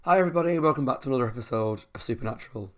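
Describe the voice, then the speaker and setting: A young man hosting a reaction channel at home, chatting casually with a croaky, sore voice and frequent laughs. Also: mid-range voice, animated, very fast, fairly bright tone. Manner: chatty, talks a lot, laughs often.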